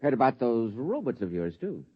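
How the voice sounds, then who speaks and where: A man's voice: mid-range voice, sing-song, moderate pace, slightly rough. An actor playing a matter-of-fact male county tax assessor in a mid-century radio drama, talking in dry, clipped phrases. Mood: businesslike. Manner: dry, clipped, drops sentence openings. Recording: dull old radio recording.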